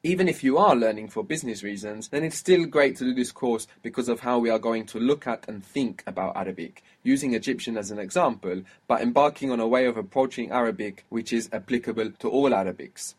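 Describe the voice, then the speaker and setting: A man teaching a one-on-one language lesson, patiently explaining and spelling out sounds slowly. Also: medium pitch, slightly rough. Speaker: Arabic teacher.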